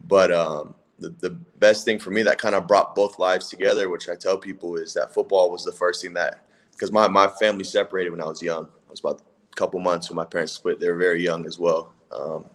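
A man in his twenties from California, a college football player chatting in a relaxed, easygoing way as a guest. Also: low-pitched, brisk. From California, USA. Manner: relaxed, laid-back, hedging with "if that makes sense". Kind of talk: casual interview chat.

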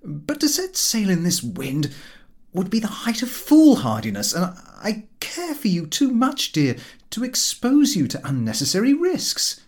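A man voicing a pompous gentleman yachtsman from a comic storybook of 1900, formal, emphatic and exasperated. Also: high-pitched, huge pitch swings, a little slow, pitched above the performer's own voice, exaggerated voice. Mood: solicitous.

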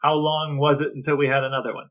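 A man's voice: fairly high pitch, level tone, fast, a bit bright, highs cut off. An American man co-hosting a podcast with casual, conversational talk. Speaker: podcast co-host.

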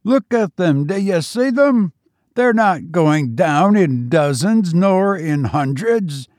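An excitable common soldier in a trench, played by a male narrator, bellowing in sheer amazement. The voice jumps well above the reader's usual pitch, loud and eager. The recording is close and dry. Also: slow pace, no echo. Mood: astonished.

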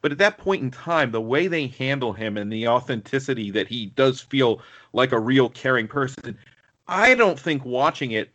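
A man's voice: mid-range voice, expressive, normal speed, fairly bright tone. A man co-hosting a casual film discussion, talking in a rambling, digressive way. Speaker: podcast co-host.

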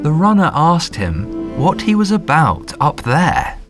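A man narrating a fairy tale audiobook in a measured, deliberate storytelling manner. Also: fairly high pitch, huge pitch swings, music in the background.

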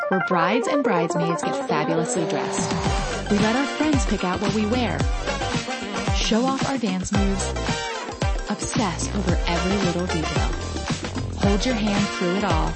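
A woman with a mid-pitched voice reads a scripted commercial. Loud music runs behind her announcer-style voice-over. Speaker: advertisement announcer.

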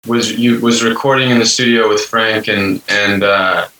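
A young man in his twenties with a low but bright voice talks earnestly as an interview guest, rambling through fillers and repeated words. He sounds set back from the mic in an echoey room. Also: fairly even intonation, moderate pace, distant mic, a little hiss or hum. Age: 20s. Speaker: guest musician. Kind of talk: casual interview chat.

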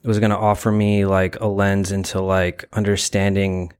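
A man talks casually in an interview. His voice is fairly low and clean, staying quite flat in pitch. He thinks aloud in halting, reflective phrases strung together with filler words. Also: smooth. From Brooklyn, New York; born in Ukraine. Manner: halting, reflective, heavy use of "like" and "you know". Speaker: interview guest, gallery founder.

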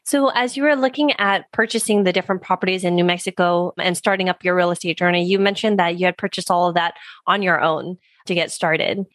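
A woman hosting a podcast, reading a scripted introduction and then asking interview questions in a friendly, measured way. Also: fairly low pitch, fairly even intonation, a bit bright, dry recording. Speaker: podcast host. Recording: dry close sound with faint music.

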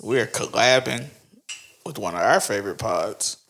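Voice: medium pitch, expressive, normal speed, a bit bright. A podcast co-host in casual chat, easygoing and laughing while telling stories.

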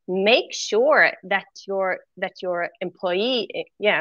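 A middle-aged woman with a Swedish accent talks as a guest in a conversational interview. Her voice is bright and mid-pitched, and her manner is candid and earnest. The sound is close and dry, with no room echo. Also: dull audio. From Sweden. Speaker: interview guest, former corporate executive.

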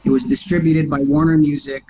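A man's voice: fairly high pitch, level tone, a little fast, over music, poor audio. Canadian man with a slight New York accent. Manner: friendly, restarts phrases often. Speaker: actor guest. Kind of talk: casual interview chat.

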